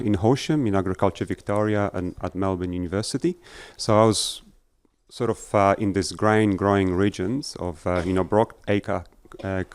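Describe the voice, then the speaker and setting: Middle-aged male researcher, lowish smooth voice, halting public talk with fillers and restarts, dry close sound. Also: darkish tone, no room sound. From Poland. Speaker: agricultural researcher. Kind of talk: talk to an audience. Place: festival tent.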